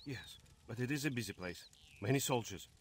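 Careful rebel soldier in a war game, raspy mid voice, respectful. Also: male voice, medium pitch, expressive, brisk. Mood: wary. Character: cautious, respectful male resistance fighter. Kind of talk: scripted video game cutscene acting.

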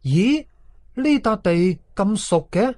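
A man's voice: high-pitched, expressive, slow, mellow, no room sound, pitched above the performer's own voice. A man voicing an uneasy young boy apprentice in a horror story, with a Hong Kong Cantonese accent. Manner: uneasy, blurting.

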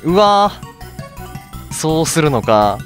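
A man's voice: a little high, big pitch swings, slow. A man giving casual video game commentary, talking to himself in short reactions as he plays. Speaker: let's play streamer. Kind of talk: casual game commentary, reading dialogue aloud. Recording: game music behind the voice. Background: game music.

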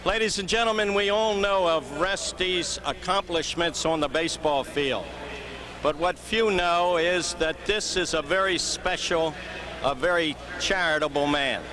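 A man's voice: fairly high pitch, unhurried, a crowd in the background. An older man, a team's general manager, reading out a plaque in a formal ceremonial speech at a stadium mic. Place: outdoor baseball stadium field. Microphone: mic on stand. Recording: outdoor ambience noise.

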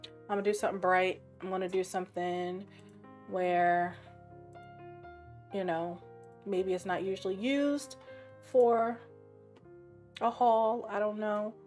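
A woman with a raspy voice and a sore, scratchy throat, chatting casually while narrating her gameplay and thinking aloud. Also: medium pitch, fairly even intonation, takes it slowly, pauses often, a little mellow, soft background music. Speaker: game streamer.

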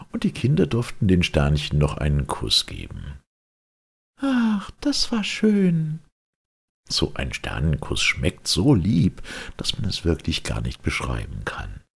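Male fairy-tale narrator, gravelly and hugely animated in pitch, theatrical children's audiobook delivery. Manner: theatrical, dramatic storytelling.

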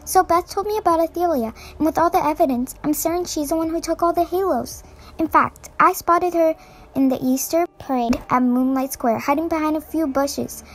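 A woman's voice: high voice, normal speed. A woman playing a helpful garden designer giving matter-of-fact witness reports in an amateur fan film, with faint background noise.

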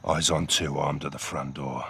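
An actor playing a battle-hardened, commanding and blunt military captain in a video game. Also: male voice, a bass voice, fairly even intonation, normal speed, vocal fry, a bit bright, a little hiss or hum, full character voice. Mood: terse, focused.

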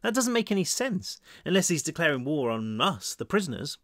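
A man's voice: a little high, very animated, a little fast, fairly bright tone, no room sound, studio quality. A man voicing a snappy, questioning teenage boy in a storybook reading, with an emphatic manner.

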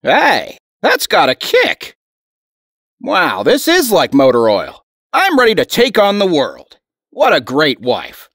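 A man's voice: fairly high pitch, huge pitch swings, takes it slowly, a bit bright, no room sound, clean studio sound, exaggerated voice. Man as a wildly swooping radio-ad husband. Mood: amazed, delighted. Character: picky, grumbling husband in an old radio commercial. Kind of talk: vintage radio advertisement.

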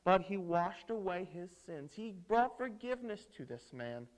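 A man with a high-pitched voice tells a Bible story as a children's preacher. He is theatrical and emphatic, using dramatic pauses and posing questions to his young listeners. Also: big pitch swings, poor audio. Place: indoors at a lectern on a stage set.

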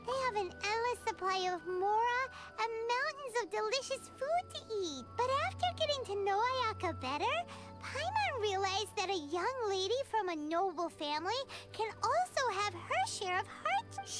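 A tiny, greedy fairy companion, piping high and swooping up and down as she chatters about herself in the third person. Video game music plays loudly underneath. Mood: pensive. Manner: bubbly and excitable, with quick swings into pouting. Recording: game audio with loud background music.

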